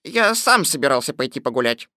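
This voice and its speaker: Fussy, sharp-voiced rabbit, very animated. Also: mid-range voice, no room sound, clean studio sound. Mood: brisk. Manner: prim, brisk, lecturing. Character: fussy, polite but stern rabbit. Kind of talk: children's audio fairy tale acting.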